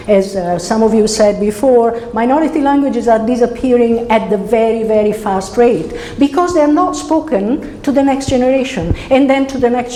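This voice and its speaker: A woman's voice: a little high, mellow. A middle-aged woman from Italy with an Italian accent gives a conversational academic lecture, phrasing hesitantly and checking in with her listeners. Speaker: university researcher giving a symposium lecture. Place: indoor lecture hall. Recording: roomy, reverberant hall with background noise.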